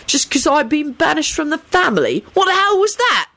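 A woman's voice: mid-range voice, wildly swooping pitch, fairly quick, husky, dry recording, full character voice. A woman voicing a brash young male wizard in an audiobook, emphatic and cheeky. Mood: scornful. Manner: emphatic, scornful.

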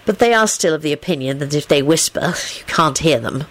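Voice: medium pitch, lively intonation, a little fast, a bit bright, no room sound. A storybook reader voicing a mild-mannered young male soldier who speaks courteously and earnestly, a little awkwardly. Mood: polite, good-natured.

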